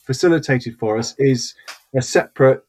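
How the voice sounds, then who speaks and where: A man's voice: mid-range voice, normal speed, a little mellow. Man, podcast host, jokey, hesitant, lots of restarts and fillers. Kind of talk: casual podcast chat.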